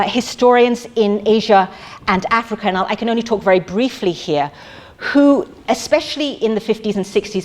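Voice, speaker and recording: A middle-aged woman lecturing in a museum auditorium, speaking haltingly with many fillers and restarts. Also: medium pitch. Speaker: academic lecturer. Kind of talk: academic lecture.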